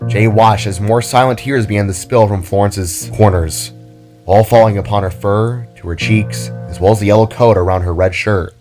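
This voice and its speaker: A man's voice: on the low side, moderate pace, a little mellow. A man narrating a dramatic scripted story as its storyteller. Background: music.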